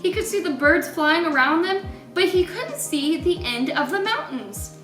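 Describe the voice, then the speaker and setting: High-pitched woman reading aloud, echoey room. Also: sing-song, unhurried, far from the mic, over music. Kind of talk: expressive read-aloud storytelling for children.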